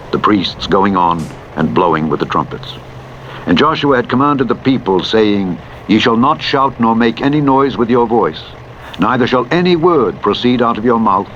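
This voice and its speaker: A man with a fairly low voice reads scripture as a solemn narrator, measured and declamatory in delivery. Clear truck engine and road noise runs behind him. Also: dull audio. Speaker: Bible narrator. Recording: loud vehicle engine noise over voice. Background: truck driving simulator engine and road noise.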